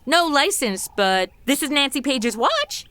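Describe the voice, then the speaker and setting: A woman's voice: high-pitched, wildly swooping pitch, measured pace, pushed and bright, full character voice. An actress playing a brash, sarcastic modern young woman, flippant and slangy, in a comedy audio drama. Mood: cheeky.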